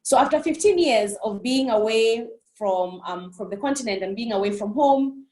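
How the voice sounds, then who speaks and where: A woman's voice: a little high, normal speed, darkish tone. Earnest woman in an echoey room. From Burundi. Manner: earnest, passionate, repeats phrases for emphasis. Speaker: keynote speaker. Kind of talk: conference keynote talk. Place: on a remote call.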